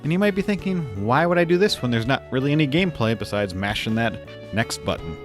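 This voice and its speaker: A man's voice: medium pitch, lively intonation, fast talker. A man hosting a game review video in an informal, chatty, lightly joking way. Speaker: video host reviewing games. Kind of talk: casual scripted video commentary. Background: background music.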